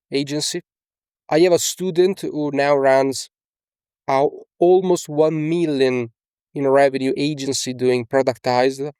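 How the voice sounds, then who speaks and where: A man's voice: on the high side, a little mellow, clear, resonant tone, no room sound, studio quality. A young man from Italy with an Italian accent, talking casually as a coach in a screen-share tutorial, often tagging on "okay?" and fillers. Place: small indoor room.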